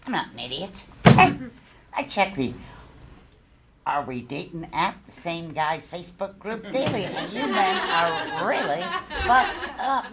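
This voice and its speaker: A woman playing a blunt, eccentric old widow who works in a restaurant, nostalgic and unhurried, at a live comedy table read. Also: lowish voice, animated, crowd noise. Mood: reassuring, blunt. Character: blunt, crazy old widowed female restaurant worker Gertrude. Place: theater stage, live show. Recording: live stage recording, faint background noise.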